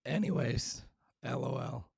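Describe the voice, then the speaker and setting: Man, hoarse raspy voice, gravelly, slow, dry close sound, casual live-stream host. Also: no room sound. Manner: talking low and hushed to spare his voice; self-deprecating, frequent throat clearing. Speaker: show host.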